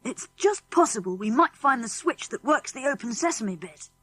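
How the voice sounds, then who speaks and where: A woman reader plays a schoolboy who leads a band of young adventurers. He sounds commanding and quick to decide, with a boyish, lively voice that rises and falls freely. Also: on the high side, soft background music. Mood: urgent, decisive. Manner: brisk, commanding. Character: decisive, plucky schoolboy leader of young adventurers.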